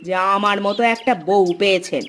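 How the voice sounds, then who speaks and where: A woman voicing a sharp-tongued, defiant young daughter-in-law in a cartoon, snapping back sassily. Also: mid-range voice, fairly even intonation, brisk, slight background noise, close to an everyday voice. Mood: haughty, threatening. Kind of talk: Bengali cartoon voice acting. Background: background music.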